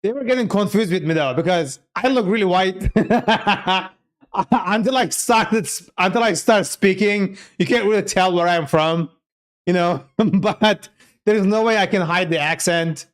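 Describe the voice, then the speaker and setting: A man who grew up speaking Turkish talks in accented, informal speech as a live streamer. His voice sits a little high and sounds clean and smooth. He takes his time, thinking aloud in a casual, chatty way while he programs. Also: measured pace. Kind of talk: casual livestream chat while coding.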